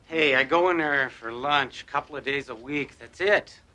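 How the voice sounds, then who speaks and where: A man's voice: medium pitch, normal speed, pushed and bright, natural voice. An actor playing an earnest, hesitant man drawn to a waitress, in naturalistic television drama. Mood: defensive, sincere.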